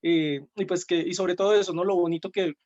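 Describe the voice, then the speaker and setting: A student filmmaker answering on a panel, rambling with fillers. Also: male voice, sits high, fairly even intonation, fairly quick, darkish tone, dull audio. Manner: rambling, many fillers and restarts. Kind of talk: panel answer on a video call.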